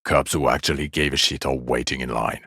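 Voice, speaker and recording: A bitter, world-weary policeman with an exceptionally deep voice. It crackles with gravel and sits close and dry. He scolds with heavy sarcasm and open contempt, like a partner who is utterly fed up. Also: steady tone, a little fast, pushed and bright, dry recording, full character voice. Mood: scornful, exasperated. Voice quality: gravelly, low. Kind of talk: video game character voice acting.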